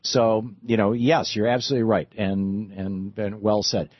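A man's voice: fairly low pitch, flat delivery, normal speed. A man hosting a call-in radio talk show, agreeing with callers and thinking aloud with restarts. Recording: muffled radio broadcast.

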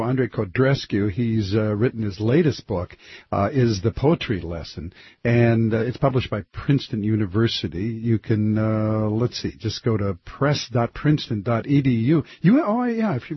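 A man's voice: mid-range voice, lively intonation, moderate pace, slightly rough, no echo, highs cut off. A male radio host asking conversational, probing questions. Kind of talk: radio interview.

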